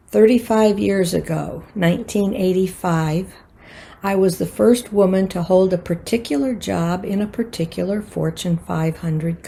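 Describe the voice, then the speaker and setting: An older American woman reading a prepared personal reflection for a worship service in a measured, earnest manner. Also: medium pitch, creaky, warm tone, slightly rough. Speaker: worship associate.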